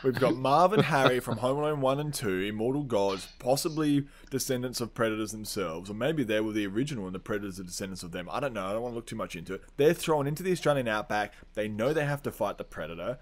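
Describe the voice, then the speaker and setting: A man chats casually as a podcast co-host. His talk is informal and full of slang, and he argues silly pop-culture theories as if they were entirely serious. His pitch sits in a middle range. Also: fast, hardly any pauses. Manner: informal, riffs in earnest on absurd theories, says dude often. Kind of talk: casual comedic chat, pop-culture debate.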